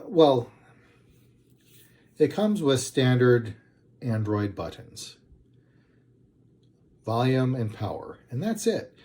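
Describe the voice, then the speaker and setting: Mellow, unhurried man giving a casual review. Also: mid-range voice. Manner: hesitant, rambling, plain-spoken. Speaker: product reviewer. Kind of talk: casual unscripted video review.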